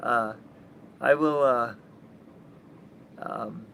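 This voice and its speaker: A man from Minnesota with an Upper Midwest American accent, talking casually and haltingly from his sailboat, full of restarts and blunt asides. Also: mid-range voice, gravelly, a bit bright, slight background noise. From Minnesota, USA. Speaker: sailing vlogger. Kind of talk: rambling casual vlog chat. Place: outdoors on a sailboat.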